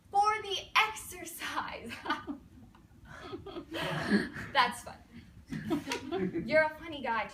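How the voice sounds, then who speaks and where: Woman playing a high, bright, desperate lovesick wife. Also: exaggerated voice. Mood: manic, wounded. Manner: dramatic, manic, pleading. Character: desperate, quirky young wife refusing divorce, manically lovesick. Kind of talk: theatrical comic stage monologue. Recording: roomy, distant mic, faint noise.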